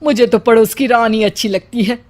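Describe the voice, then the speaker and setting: A woman's voice: on the high side, brisk, a little mellow, close to an everyday voice. A woman voicing a plump, discontented housewife in a cartoon story, complaining and self-pitying. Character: plump, fair housewife, discontented with her own looks. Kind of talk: cartoon family story acting.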